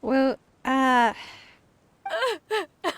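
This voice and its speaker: Excitable woman reacting to a game. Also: high-pitched, drawn-out words, dry recording. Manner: excitable, swearing, joking. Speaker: streamer.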